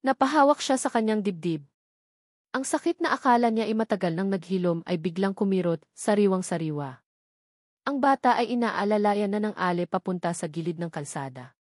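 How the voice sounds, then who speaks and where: Female narrator for dramatic romance fiction, mid-pitched, closely dry recording. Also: expressive, a little fast, a little mellow, no echo. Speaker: storyteller. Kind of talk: scripted dramatic narration of a Tagalog romance story.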